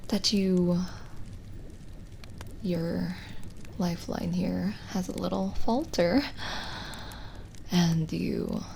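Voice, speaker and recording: Woman doing a gentle lonely witch, asmr roleplay, really slow and whispery, bit of creak in the voice, faint noise behind. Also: lowish voice. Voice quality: soft, breathy, often slipping into whisper. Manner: slow, hushed, soothing, with pauses. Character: lonely, gentle witch reading a guest's palm. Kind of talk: soft-spoken ASMR roleplay, partly whispered.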